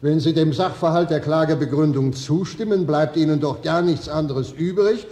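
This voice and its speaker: A man's voice: on the high side, normal speed, mellow, lightly acted. A man playing a stern, strict judge in a radio play, speaking with formal, admonishing authority. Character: stern, strict male judge presiding over a trial. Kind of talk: 1970s German radio play acting. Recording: echoey courtroom hall reverb.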